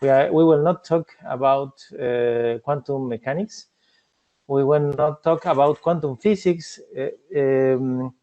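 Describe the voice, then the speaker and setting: Argentine guy presenting, lots of uhs and restarts, slightly high voice. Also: mellow, muffled recording. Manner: halting, many fillers and restarts. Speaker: cybersecurity researcher presenting a talk. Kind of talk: conference presentation.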